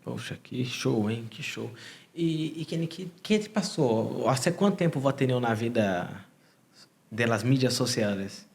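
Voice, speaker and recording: Male podcast host, mid-pitched with vocal fry, Brazilian accent, Portuguese native, loose conversational delivery. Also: lively intonation, measured pace, a little mellow. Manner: informal, mixes in Portuguese words. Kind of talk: casual interview chat.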